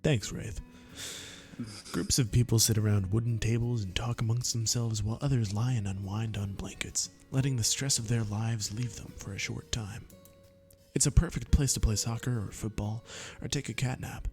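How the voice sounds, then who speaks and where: A man in his twenties with a strained, tiring voice, reading a visual novel aloud in a slow, deliberate storybook manner with dry self-mocking asides. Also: fairly low pitch, fairly even intonation, darkish tone, faint music behind. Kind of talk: dramatic read-through of game text with asides to friends.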